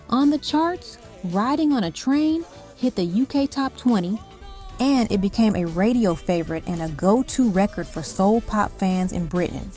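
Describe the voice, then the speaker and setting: A woman's voice: on the high side, warm tone. A woman reading scripted narration for a music countdown, delivered in emphatic, short punchy phrases. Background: faint music under the voice.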